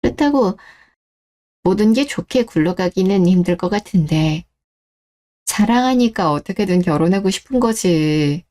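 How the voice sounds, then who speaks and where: A protective middle-aged father read by a woman talks in a mellow, gentle tone. He is frank and measured, cautioning out of worry as in a family radio drama. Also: mid-range voice, animated, close to an everyday voice. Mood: resigned concern. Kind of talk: scripted story-radio drama.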